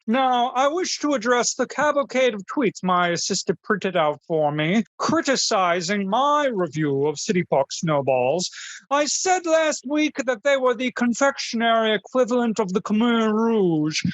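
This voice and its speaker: Pompous aristocratic connoisseur played by an actor for comedy radio: florid, haughty, very animated delivery, dry studio sound. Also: mid-range voice, no echo, lo-fi sound, close to an everyday voice. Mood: indignant. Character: pompous aristocratic snobbish New Orleans snowball connoisseur host. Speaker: host of a food radio show. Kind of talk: parody radio show monologue.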